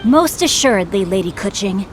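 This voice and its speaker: A woman's voice: mid-range voice, animated, moderate pace, over music, natural voice. A woman voicing a refined, gallant boy, a young guild master, in a video game with formal, eloquent speech. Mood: earnest. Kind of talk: video game cutscene acting.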